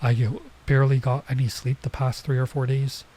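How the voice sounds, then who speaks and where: A man's voice: mid-range voice, monotone, fairly quick, mellow. A man playing a visual novel and chatting casually, his voice nasal and stuffed up as he comes down with a cold. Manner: rambling, chatty asides. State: coming down with a cold. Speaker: streamer. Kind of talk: casual let's play commentary.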